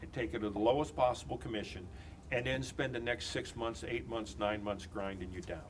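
Confident older man pitching as an agent. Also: fairly even intonation, brisk, slight background noise. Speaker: real estate agent. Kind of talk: mock listing presentation. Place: office conference room.

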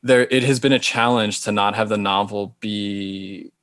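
A man's voice: fairly low pitch, fairly even intonation, a little slow, fairly bright tone, no echo, clean studio sound. A man in his thirties from Hawaii, answering interview questions thoughtfully over a video call, often restarting his phrases. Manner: thoughtful, careful, frequent restarts. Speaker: guest author. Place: small indoor room. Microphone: video call with earbuds.

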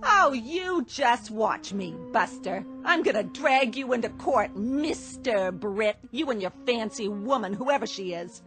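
An actress playing a sharp-tongued wife of twenty-seven years, scornful and biting in a radio drama. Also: female voice, fairly high pitch, lively intonation, measured pace, hardly any pauses, music in the background. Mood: furious. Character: bitter sharp-tongued middle-aged wife Phyllis.

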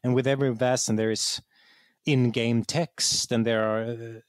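Male interview guest, mid-range voice, halting and self-correcting, very dry recording. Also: mid-range voice, little pitch movement, dry recording. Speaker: guest, game writer. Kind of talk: conversational interview explanation.

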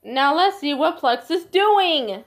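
Lady playing a friendly kids show host, high lively voice, explains simply. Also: slow. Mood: friendly, explaining. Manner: simple explaining to young children. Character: upbeat, friendly children's show presenter. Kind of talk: scripted children's narration.

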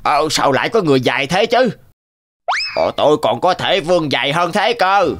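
An odd, loyal cartoon henchman, talks in a somewhat high male voice. He is good-natured, eager and exclamatory, with light music behind. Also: big pitch swings, normal speed. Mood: surprised, boastful. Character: odd loyal fairy-tale servants with strange powers, good-natured, eager. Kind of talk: animated fairy-tale dubbing.

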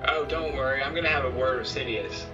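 A man's voice: mid-range voice, fast talker, distant mic, lo-fi sound, loud music behind the voice, close to an everyday voice. A man voicing a resolute, defiant boy who leads young heroes in a cartoon parody. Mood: determined. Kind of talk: fan-made cartoon parody voice acting.

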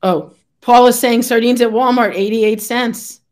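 A middle-aged woman hosting a video call interview from home, friendly with quick affirmations and opinions. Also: fairly high pitch, steady tone, darkish tone. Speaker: host. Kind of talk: casual interview chat. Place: home room with brick wall.

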